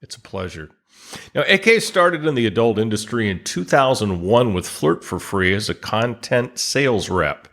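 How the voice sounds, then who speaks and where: A man's voice: fairly low pitch, moderate pace. Male podcast host reading news. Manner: steady read-aloud delivery. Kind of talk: scripted news reading and interview hosting.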